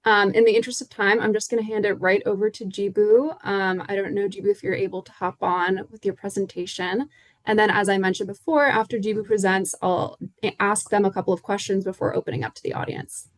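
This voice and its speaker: A woman moderating a webinar panel, thanking one speaker and briskly handing over to the next. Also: mid-range voice, level tone, no room sound.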